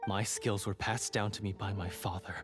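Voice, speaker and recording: A man's voice: fairly low pitch, flat delivery, normal speed, music in the background, close to an everyday voice. A man voicing a bitter, scornful traitor hunter. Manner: resentful, scornful villain. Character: bitter male monster hunter turned traitor. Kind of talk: video game voice acting.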